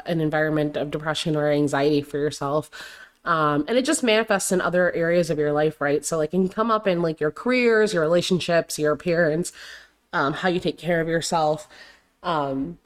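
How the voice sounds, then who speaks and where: Low-voiced woman with a slightly raspy voice. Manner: rambling, heavy on fillers and "like". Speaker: podcast host. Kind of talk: casual solo podcast talk.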